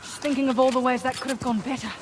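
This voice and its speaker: An actress playing a tough, no-nonsense mercenary in a video game, curt and dry. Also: female voice, high voice, flat delivery, rapid-fire, lightly acted. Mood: dry, businesslike. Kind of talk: video game cutscene acting. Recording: clear background noise under game dialogue.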